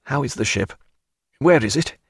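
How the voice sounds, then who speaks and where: A man playing a weary, courteous but testy gentleman castaway in an audiobook, with formal, dry phrasing. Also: medium pitch, lively intonation, normal speed, dry recording. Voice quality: croaky when weak. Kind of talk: audiobook character voice.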